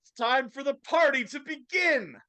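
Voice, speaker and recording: A man's voice: very high-pitched, expressive, moderate pace, creaky, sharp tone, no echo, voice pushed up, heavily acted. A man reading the part of a hearty, declamatory older-style boss in a live script reading. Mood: festive, hearty. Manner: declamatory, grandly addressing listeners. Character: hearty male headmaster and festive employer, declaiming. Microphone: video call.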